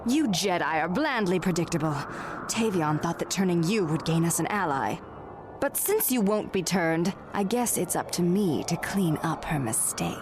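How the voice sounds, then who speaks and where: An actress playing a cruel, taunting female dark Jedi villain in a video game, sneering and imperious. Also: fairly low pitch, expressive, normal speed, noisy background, natural voice. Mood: scornful. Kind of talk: dramatic video game cutscene acting. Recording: music behind the voice.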